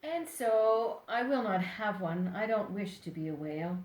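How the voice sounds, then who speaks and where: Slow-paced older woman reading a storybook. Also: medium pitch, animated, darkish tone, echoey room, rough recording. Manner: deliberate, playful, addresses young listeners directly. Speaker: storyteller reading a children's book aloud. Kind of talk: expressive picture-book read-aloud with asides.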